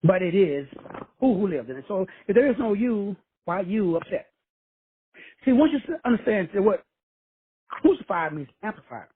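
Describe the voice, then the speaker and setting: Male host, high husky voice, profane street-preacher swagger, dry tinny phone audio. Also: sing-song, normal speed, long pauses, no room sound. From Texas. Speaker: host of a call-in show.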